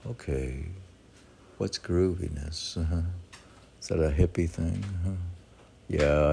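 Older male poet, very low, creaky and unhurried; rambling, self-questioning musings over faint noise. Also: a little mellow. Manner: rambling, often asking and answering own questions. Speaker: poet, meditation teacher. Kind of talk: casual musing talk with short poems. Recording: faint background noise with clicks.

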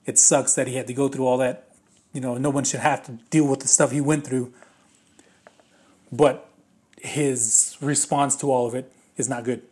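A man with a slightly raspy voice giving a casual, rambling spoken movie review, full of fillers and restarts. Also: mid-range voice, little pitch movement, normal speed, pauses often, darkish tone.

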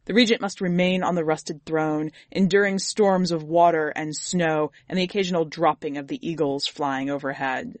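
A woman's voice: sits low, fairly even intonation, normal speed, few pauses, dry recording, voice pushed down, close to an everyday voice. A loyal, prudent male counselor from a fantasy storybook, voiced by a woman narrator. He speaks with courtly formality and firm emphasis. The sound is dry and close. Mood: firm, insistent.

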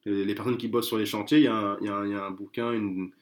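A man's voice: fairly low pitch, level tone, racing. A man chatting casually as an interview guest, hesitant, with many fillers and restarts. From France.

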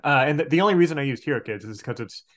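A man's voice: mid-range voice, expressive, rapid-fire, fairly bright tone. A man talking casually as an interview guest on a podcast, enthusiastic, often repeating words as he finds his way. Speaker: podcast guest, tabletop game master and elementary teacher.

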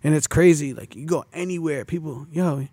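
A man's voice: a little high, normal speed, mellow, no echo, clean studio sound. A man in his twenties, a rapper being interviewed, talking in laid-back street slang and filling his talk with casual asides. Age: 20s. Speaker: rapper guest.

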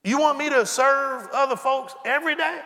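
A man's voice: very high-pitched, normal speed, creaky, pushed and bright, long reverb tail. A man, preaching a sermon in a conversational way and acting out dialogue with humorous asides. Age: 40s. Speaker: pastor. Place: church sanctuary.

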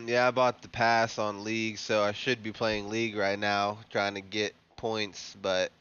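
A young man chats from home over a voice call, his low voice smooth and easy. He is laid-back, tossing off dry wisecracks and casual swearing, through dull lo-fi call audio. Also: fairly even intonation, unhurried, fairly bright tone. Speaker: podcast co-host. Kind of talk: casual chat.

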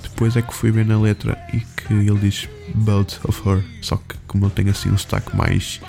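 A man's voice: on the low side, flat delivery, moderate pace, vocal fry, mellow. A man with a European Portuguese accent hosting a music show, chatting casually in an informal, rambling, slangy way as he introduces songs.

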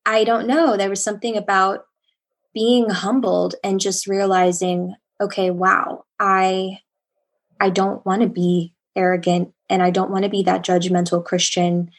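A young woman speaking as a podcast guest with a calm, soothing voice, talking casually and reflectively. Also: mid-range voice, a little slow. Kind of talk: casual conversational interview.

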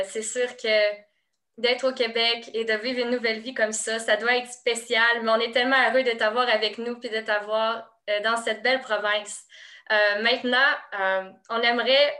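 Bright woman interviewer. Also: on the high side. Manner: hesitant, many fillers while asking. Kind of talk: warm encouraging interview questions.

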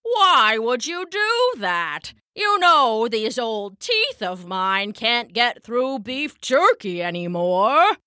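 A woman voicing a grumpy but soft-hearted old man with bad teeth in a children's story, grumbling and drawing out the words. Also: on the high side, big pitch swings, no room sound, studio quality, full character voice. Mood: indignant. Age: older. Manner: grumbling, slow, drawn-out delivery. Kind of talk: acted children's storybook character.

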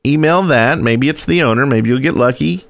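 A man's voice: medium pitch, moderate pace, gravelly, no room sound. A man hosting a casual podcast, talking easily with plenty of filler words and handing out practical advice. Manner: relaxed, frequent "uh" fillers, gives practical advice. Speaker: podcast host.

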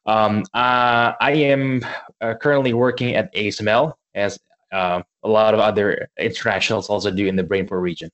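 Muffled low man from Indonesia, halting. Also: fairly even intonation, a bit bright. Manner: hesitant, many fillers. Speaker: guest, engineer. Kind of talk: casual panel discussion.

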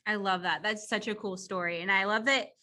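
A woman's voice: medium pitch, steady tone, brisk, pushed and bright. A young woman in her twenties hosting a podcast interview, upbeat and enthusiastic. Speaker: podcast host. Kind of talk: casual interview.

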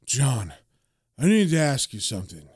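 A man's voice: mid-range voice, very animated, normal speed, darkish tone, breathy edge, no echo, voice pushed down. Man putting on grieving working-class British bloke, comic-book character, short-fused, blunt, outspoken, dry recording. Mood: glum, subdued.

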